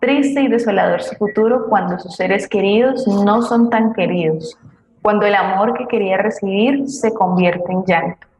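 A woman's voice: medium pitch, normal speed, a little mellow. A woman co-hosting an online programme, reading audience comments aloud between short conversational links. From Colombia. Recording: echoey room, faint background noise, clicks.

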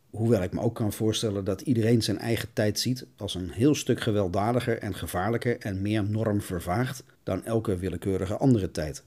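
A man's voice: on the low side, darkish tone, slightly rough. A man delivering a scripted solo monologue as a podcast host.

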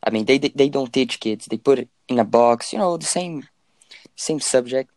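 An eighteen-year-old man from Brazil, a native Portuguese speaker, talking on a casual call. He speaks haltingly and searches for his words, in a dry, close sound. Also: mid-range voice, a little mellow, no room sound.

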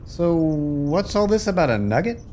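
A man's voice: a little high, very animated, normal speed, warm tone. Guy doing a down on his luck american rancher, plain talker, voice jumps all over, bit of background noise, radio play. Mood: wry, weary. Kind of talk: family radio play acting.

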